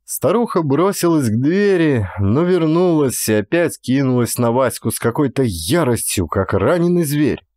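A man reading a classic story as an actor-narrator, with expressive scripted delivery. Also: mid-range voice, moderate pace, few pauses, dry recording, studio quality. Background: music.

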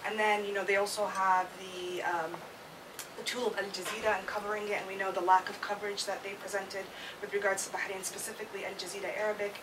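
A woman in the audience asking a panel questions in a loud voice in a conference room. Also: medium pitch, little pitch movement, far from the mic. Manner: loud, quick asides. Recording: off-mic, clear room noise.

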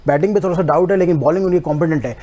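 A man's voice: on the high side, rapid-fire, warm tone. A man giving cricket analysis in a TV studio, switching often into English and speaking emphatically. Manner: mixes English into Hindi-Urdu, emphatic.

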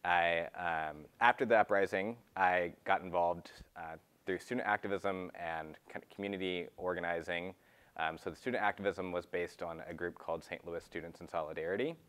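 Deep-voiced young man, measured speech. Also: fairly even intonation, a bit bright. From Texas, USA. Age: 20s. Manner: measured, with many fillers. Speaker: panelist. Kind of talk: panel self-introduction. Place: large meeting room.

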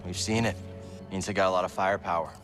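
An actor playing an earnest, determined young Jedi hero in naturalistic video game cutscenes. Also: male voice, low voice, steady tone, fast, over music, lightly acted. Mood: serious, determined.

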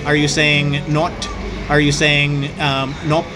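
A middle-aged man hosting a casual interview over drinks, talking in a halting way with many restarts and fillers. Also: fairly high pitch, completely flat delivery. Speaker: host. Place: busy bar at night, indoors. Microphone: small tripod mic on the table. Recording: loud music in a bar.

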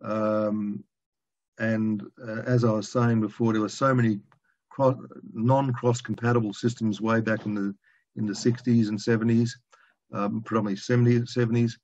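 A man in his sixties giving an informal technical presentation over a video call from a room at home, speaking in a halting, colloquial, conversational way. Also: lowish voice, flat delivery, a little mellow. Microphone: video call, computer microphone. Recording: dull lo-fi video call audio.

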